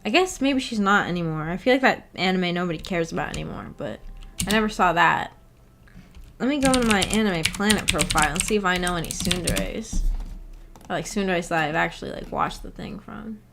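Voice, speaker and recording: A woman's voice: on the low side, animated, brisk, gravelly, noisy background. A woman streamer chatting casually with viewers, reading out comments and rambling as she thinks aloud in a giggly way. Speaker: streamer, book club host. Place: indoor home streaming setup.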